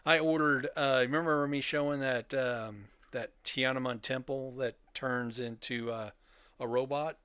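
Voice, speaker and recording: A man's voice: mid-range voice, fairly even intonation, a little slow, fairly bright tone, clear, resonant tone, dry recording. A middle-aged man chatting casually as a podcast host about collecting.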